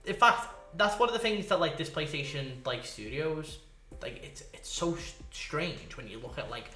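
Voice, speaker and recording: Man, fairly high voice, casual podcast co-host, excitable, rambling, swears freely, echoey room. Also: normal speed.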